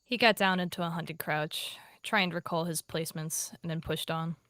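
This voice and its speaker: Woman roleplay narrator, lowish voice, quiet and plain, dry. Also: fairly even intonation, moderate pace, no echo. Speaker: roleplay player.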